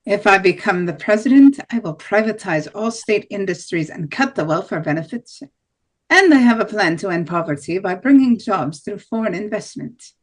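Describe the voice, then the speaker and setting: A woman's voice: mid-range voice, lively intonation, moderate pace, natural voice. A woman reading the part of a blunt, hardline right-wing presidential candidate in an amateur play table read, with plain declarative delivery. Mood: firm.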